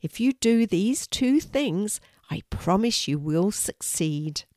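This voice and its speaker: Woman playing a kind, animated young girl. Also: mid-range voice, slow, no echo, studio quality. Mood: encouraging. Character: kind, encouraging young girl, gentle and brave. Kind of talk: children's storybook character voice.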